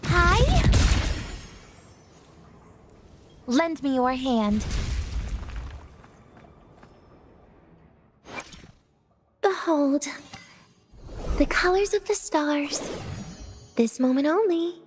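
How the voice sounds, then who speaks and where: A woman playing a wistful, softly teasing young heroine in a video game, speaking in a soft, breathy, unhurried way. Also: high-pitched, lively intonation, over music. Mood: gentle wonder. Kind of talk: video game character acting.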